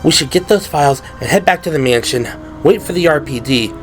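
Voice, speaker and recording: A man's voice: fairly high pitch, lively intonation, a little fast, pitched above the performer's own voice, lightly acted. A man voicing a tough, determined young policewoman in an audio drama, urgent and resolute, with music behind.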